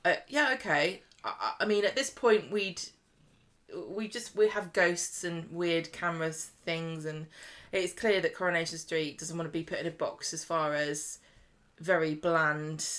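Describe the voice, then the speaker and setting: Female podcast co-host, slightly low voice, informal and meandering. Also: steady tone, a little slow, fairly bright tone. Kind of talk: casual chat about a TV soap.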